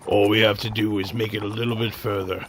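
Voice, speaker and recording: A man's voice: lowish voice, level tone, fast talker, slight background noise, close to an everyday voice. Man acting a folksy fantasy cart driver. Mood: explaining. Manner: folksy, old-time phrasing, instructing. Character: gruff experienced male cart driver guiding a younger companion. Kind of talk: fantasy radio drama acting.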